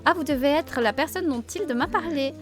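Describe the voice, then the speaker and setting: A woman's voice: sits high, normal speed, soft background music, voice pushed up, natural voice. A woman reading as a haughty café owner. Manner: prim, condescending, formal.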